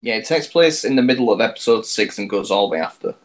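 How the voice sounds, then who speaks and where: A male podcast co-host with a slightly low voice who talks casually and nudges the group back on track. His delivery is relaxed and conversational. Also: fast talker. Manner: steers the talk back on topic. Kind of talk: casual gaming chat.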